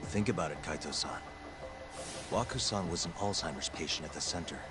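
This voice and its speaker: Very deep, serious male sleuth, acted. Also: over music, natural voice. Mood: earnest. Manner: deliberate, serious, reasoning. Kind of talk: video game dialogue acting.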